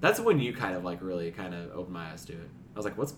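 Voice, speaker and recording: A man's voice: deep voice, very animated, quick pace, a little hiss or hum. A man co-hosting a gaming podcast in an informal way, moving between casual chat and reading news. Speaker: podcast co-host.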